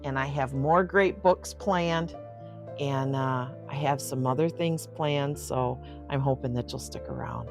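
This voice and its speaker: Older lady reading kids books out loud, low voice, slow pace, gushy chatty asides to the listeners. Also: music in the background. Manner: effusive, exclaiming, talks directly to young listeners. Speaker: host reading picture books aloud. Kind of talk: storybook read-aloud with chatty asides.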